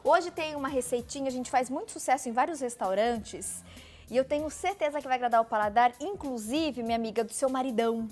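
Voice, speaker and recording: A woman's voice: high voice, a little fast, faint music behind. A woman hosting a TV cooking segment, upbeat and chatty, recapping the ingredients aloud in a studio kitchen. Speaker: TV show host.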